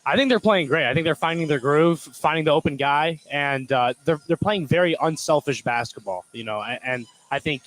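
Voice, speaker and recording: A man's voice: fairly high pitch, fairly quick, hardly any pauses, a bit bright, rough recording. A man giving informal, conversational colour commentary on a basketball game. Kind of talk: casual basketball analysis.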